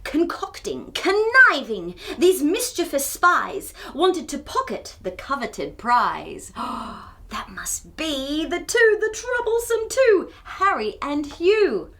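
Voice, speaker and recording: Very high-pitched, animated woman reading to children. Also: takes it slowly. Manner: expressive, theatrical, with gasps and exclamations. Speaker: children's storytime host. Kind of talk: animated picture book read-aloud with asides to young viewers.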